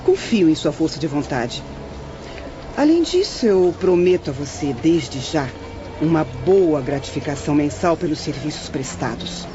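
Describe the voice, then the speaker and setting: A woman's voice: deep voice, big pitch swings, a little slow, over music. Woman acting a haughty young streetwoman, muffled sound. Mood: haughty, persuasive. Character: haughty, calculating young prostitute mother. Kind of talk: audio drama acting. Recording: dull audio, street-like background noise.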